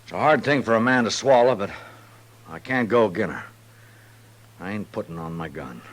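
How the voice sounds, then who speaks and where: Low-voiced, stubborn actor as rustic homesteader. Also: male voice, expressive, moderate pace, slight background noise, lightly acted. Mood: subdued. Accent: rural American. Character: stubborn, proud homesteader, a former gunfighter. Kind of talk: 1950s radio western acting.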